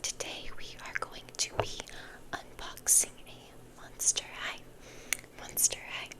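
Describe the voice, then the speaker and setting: A young woman hosting ASMR, rambling casually in a whisper and repeating words and phrases for effect. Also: slow. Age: young adult. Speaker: ASMR video host.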